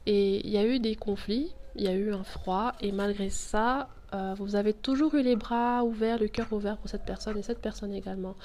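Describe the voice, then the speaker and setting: A chatty woman talks through a tarot spread at a moderate, mid-height pitch, thinking aloud as she goes. A cold thickens her voice, and a faint hiss with light clicks sits behind her. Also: fairly even intonation, moderate pace, few pauses, darkish tone. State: has a cold. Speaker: tarot reader. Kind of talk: casual tarot reading to camera.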